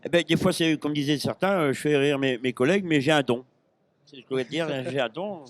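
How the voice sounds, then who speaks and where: A man's voice: on the high side, fast, no room sound. Rambling middle-aged man from Normandy. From Normandy, France. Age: 50s. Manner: rambling, hesitant, full of restarts and fillers. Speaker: interview guest, pig-call champion. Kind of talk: casual chat. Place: busy agricultural fair stand. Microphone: handheld mic.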